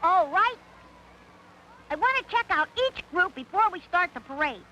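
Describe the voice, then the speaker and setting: A shrill, bossy parade director. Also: female voice, lively intonation, moderate pace, pushed and bright, dull audio, a little hiss or hum, exaggerated voice. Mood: exasperated. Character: bossy, exacting parade director drilling marching groups.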